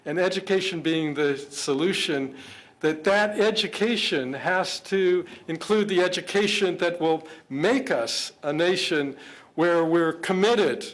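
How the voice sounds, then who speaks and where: An older man giving a speech in a fairly high voice. He speaks earnestly, stops often and repeats words before going on. The remarks sound partly prepared and partly worked out as he talks. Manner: halting, often repeats words while searching for phrasing; earnest, measured. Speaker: government official speaking at an interfaith event.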